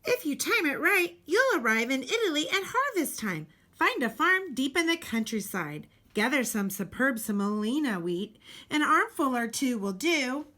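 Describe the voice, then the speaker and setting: A woman reading a children's storybook aloud expressively, with deliberate, dramatic emphasis and pauses. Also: high-pitched, big pitch swings, bright, forceful tone. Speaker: teacher reading a picture book aloud.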